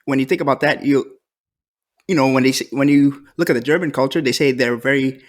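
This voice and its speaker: A man co-hosting a podcast in casual chat, relaxed and thinking aloud with many fillers. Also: medium pitch, brisk. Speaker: podcast co-host.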